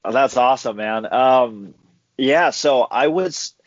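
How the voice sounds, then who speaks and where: A young man, a professional fighter, telling stories in a relaxed way as a guest on a casual podcast interview, laughing easily. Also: mid-range voice, slow pace, no echo. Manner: laid-back storyteller who laughs easily. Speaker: guest, pro MMA fighter. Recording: dull dry audio.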